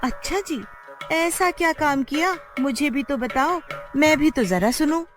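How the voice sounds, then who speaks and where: A woman performs a sharp-tongued village wife from a cartoon story, nagging and sarcastic. Her voice jumps high and swings widely with exasperation, over background music. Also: lively intonation, unhurried. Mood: teasing curiosity. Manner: sarcastic, scolding. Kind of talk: Hindi cartoon moral story acting.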